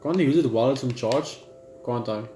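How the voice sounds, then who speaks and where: A man with a mid-range voice reads game text aloud in a halting way, repeating words and dropping casual asides. The room is echoey and game music plays faintly behind him. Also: medium pitch, dark tone. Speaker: gaming video host.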